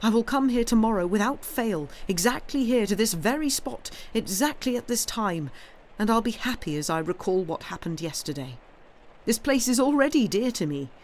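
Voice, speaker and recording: A reader voicing a lonely, timid young man in an audiobook, impulsive and effusive, with a halting, pleading delivery. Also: high voice, expressive, a little hiss or hum. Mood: earnest, effusive. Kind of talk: dramatised audiobook reading.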